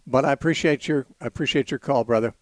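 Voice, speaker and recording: A middle-aged man hosting a call-in radio show on the Bible, thoughtful, restarting sentences, briskly moving callers along. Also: mid-range voice. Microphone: studio microphone.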